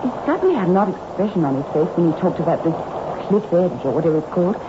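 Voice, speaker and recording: An actress playing an anxious, genteel young wife in an old radio horror drama. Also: female voice, lowish voice, lively intonation, very fast, lightly acted. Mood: uneasy, weary. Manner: apprehensive, genteel. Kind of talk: 1960s radio drama acting. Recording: very noisy, muffled old radio recording.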